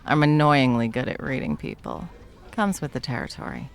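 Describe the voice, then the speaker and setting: A woman playing a confident, flirtatious, protective bartender in an intimate ASMR roleplay, teasing and unhurried. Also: rumbling low, huge pitch swings, gravelly, heavily acted. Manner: teasing, unhurried, soft chuckles, dropping toward whispers. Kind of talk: ASMR roleplay, intimate one-sided conversation.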